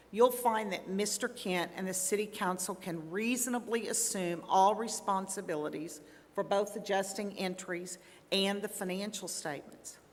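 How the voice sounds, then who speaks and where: A woman acting as a composed, confident auditor in a staged role-play. Her voice is mid-pitched and formal, deliberate in its phrasing, and carried by the long reverb of a hall. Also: close to an everyday voice. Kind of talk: scripted role-play dramatization.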